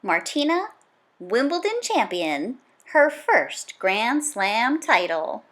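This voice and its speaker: Female read-aloud narrator for children's nonfiction, slightly high pitch, very lively intonation, dramatic emphasis and punchy delivery. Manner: dramatic, emphatic delivery with punchy exclamations and drawn-out key words. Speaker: storybook read-aloud reader.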